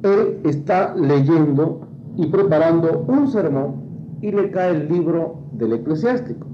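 A man, a priest and scholar, explaining in an interview in a halting, didactic way. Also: on the high side, lively intonation. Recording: dull lo-fi interview, faint noise.

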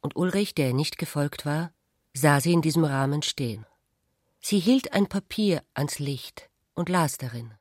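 A narrator reading literary prose for a radio play in a measured, formal way. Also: mid-range voice, no room sound, clean studio sound, lightly acted.

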